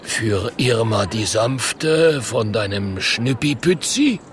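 A man voicing a timid, lovestruck potato troll in a children's story, with a hesitant, drawn-out way of talking. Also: mid-range voice, big pitch swings, slight background noise. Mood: shy, hesitant.

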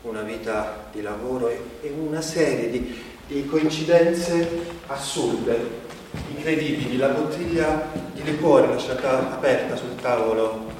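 A man's voice: medium pitch, a little mellow, a little hiss or hum, close to an everyday voice. Echoey, distant man acting a reflective stage narrator. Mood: grave. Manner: halting, reflective, with broken phrases and pauses. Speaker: stage actor. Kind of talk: theatrical monologue, conversational storytelling. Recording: echoey hall, distant mic, music behind.

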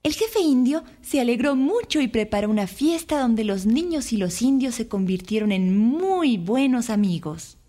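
A woman storyteller reads a children's tale in a fairly high voice. Her intonation is very animated and her phrasing is careful and expressive. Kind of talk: scripted children's story narration.

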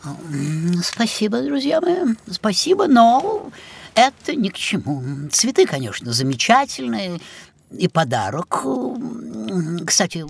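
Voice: mid-range voice, vocal fry, slightly rough, full character voice. An actor playing a grumpy, pompous, very old male talking pet in a children's audio play, with theatrical, fussy delivery. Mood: polite, reluctant.

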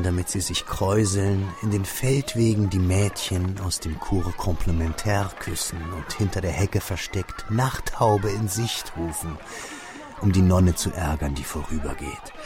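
A man playing a reflective young man who narrates his melancholy past in measured, literary radio drama style. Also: lowish voice, close to an everyday voice. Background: music.

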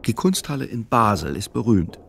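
A man acting as the pensive narrator of a literary radio play, voicing a solitary widower's thoughts in a dry, deliberate way. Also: fairly low pitch, sing-song, vocal fry, a bit bright, soft background music, heavily acted.

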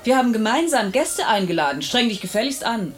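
An actress in a radio play voicing an exasperated wife, sharp, mocking and impatient. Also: female voice, a little high, very animated, brisk. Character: exasperated, scolding, sarcastic wife. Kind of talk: German radio play acting.

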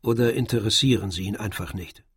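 A man narrating a literary radio drama from a script in a measured storytelling manner. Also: lowish voice. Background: faint music.

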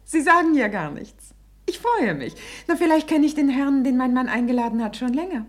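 An actress playing a reflective, vain middle-aged wife in a radio play, hesitant and confiding, with dramatic pauses and breathy near-whispers. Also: female voice, high-pitched, lively intonation. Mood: brightly chatty. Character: reflective, vain, self-reproaching middle-aged wife confessing her memories. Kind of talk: intimate German radio play monologue.

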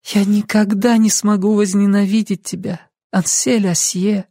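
A performer voicing a high-pitched, pleading young woman. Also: level tone, unhurried, mellow, no room sound, clean studio sound. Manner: pleading, insistent. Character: desperate, weary young woman pleading with her beloved.

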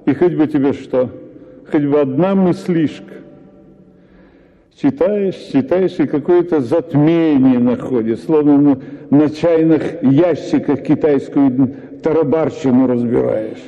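An actor reading a story for radio as a husband, lecturing in an exasperated tone. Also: male voice, fairly high pitch, measured pace. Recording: tinny radio broadcast with hall reverb.

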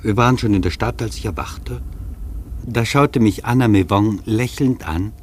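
An actor playing a wry, opinionated traveller who narrates his journey in a dry, measured, ironic way, with a husky voice. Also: fairly low pitch, slight background noise, close to an everyday voice. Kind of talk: literary first-person radio narration.

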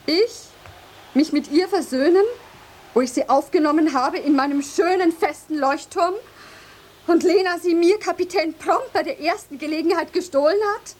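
An actress in a German radio drama playing a haughty, jealous lighthouse mistress, speaking in an imperious, formal and mocking way. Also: female voice, very high-pitched, normal speed. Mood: agitated, indignant.

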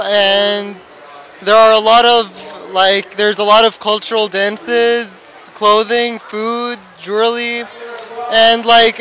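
A man's voice: sits high, pushed and bright, low-quality recording. A teenage boy at an outdoor festival, answering interview questions in a hesitant way, full of "like" fillers. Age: teens. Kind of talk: casual street interview.

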